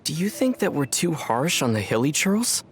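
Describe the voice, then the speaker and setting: Calm young adventurer, dryly teasing. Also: male voice, fairly high pitch, normal speed, heavy background noise. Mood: dry, musing. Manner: calm, dry, wry teasing. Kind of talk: video game voice acting.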